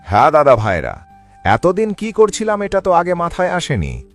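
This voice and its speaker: A man's voice: fairly high pitch, huge pitch swings, normal speed, soft background music. A man voicing an earnest village man in a cartoon, speaking expressively. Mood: eager. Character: anxious earnest male villager, deferential. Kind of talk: Bengali cartoon voice acting.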